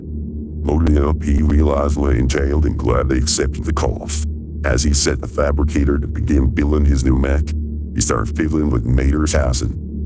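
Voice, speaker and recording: A man reading scripted narration for a sci-fi radio play. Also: extremely low pitch. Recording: loud music under the voice.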